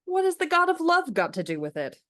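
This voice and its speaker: A woman's voice: extremely high pitch, big pitch swings, fairly quick, bright, forceful tone, dry recording, clean studio sound, full character voice. A woman playing an opinionated, sardonic young man in a radio play, lecturing in an emphatic, mocking way. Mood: sceptical, mocking.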